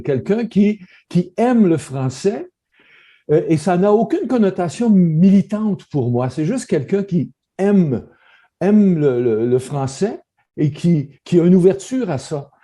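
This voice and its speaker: Halting Franco-Ontarian man, husky and dark. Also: high voice, expressive. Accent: Franco-Ontarian French. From Northern Ontario, Canada. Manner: halting, repeats words, reflective. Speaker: podcast host. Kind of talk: casual conversation.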